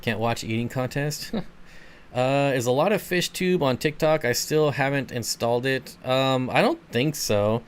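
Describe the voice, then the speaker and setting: A man from Michigan hosts a casual live chat, drifting from comment to comment in a laid-back, rambling way. His voice is smooth and clear and sits at an ordinary male pitch. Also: a little slow. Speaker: channel host. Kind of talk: casual livestream chat, answering viewer comments.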